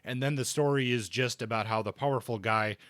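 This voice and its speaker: A man co-hosting a podcast, casually discussing books in a halting way, often rephrasing as he thinks aloud. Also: mid-range voice, a bit bright, no room sound.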